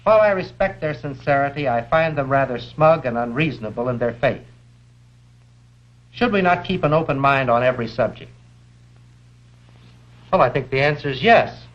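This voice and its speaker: A man's voice: mid-range voice, animated. American man lecturing, dull vintage film sound. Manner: measured, deliberate, rhetorical questioning. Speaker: science lecturer and film presenter. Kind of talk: 1950s educational film lecture.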